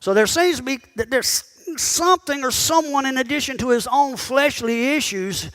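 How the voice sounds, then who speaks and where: A man's voice: very high voice, moderate pace, a bit bright. A male pastor giving a sermon in a conversational style, calling out to his congregation and asking them pointed questions. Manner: conversational preaching that calls out to the congregation; asks the listeners pointed questions. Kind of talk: sermon teaching.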